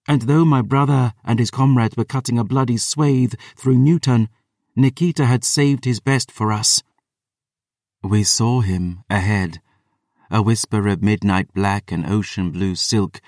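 A man's voice: on the low side, a little mellow, dry recording, clean studio sound, distant mic, close to an everyday voice. A man voicing a proud, battle-hardened female vampire warrior, telling of battle in an intense, grave, theatrical way. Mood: fierce, grim, then grave, urgent. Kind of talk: dramatic first-person storytelling.

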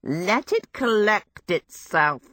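A woman doing a brisk fire demon. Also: mid-range voice, animated, fairly bright tone, dry recording. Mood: protective.

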